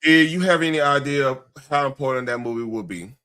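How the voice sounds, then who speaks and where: A man co-hosting a show, reading interview questions aloud in a halting, casual way with loose joking asides. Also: medium pitch, pushed and bright, clean tone. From Chicago, United States. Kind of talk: casual read-along with off-script chat.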